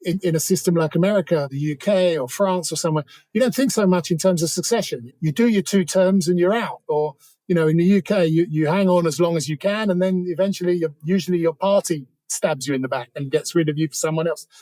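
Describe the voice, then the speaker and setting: A man's voice: a little high, quick pace, dark tone, dry recording. A man speaking as an interview guest, discussing politics casually in a discursive, explanatory way.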